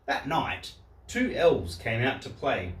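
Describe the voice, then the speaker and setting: A man reading a storybook aloud for children in a simple, deliberate way. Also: medium pitch, sing-song, a bit bright. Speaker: storyteller, host of a story channel. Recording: roomy echo, distant microphone.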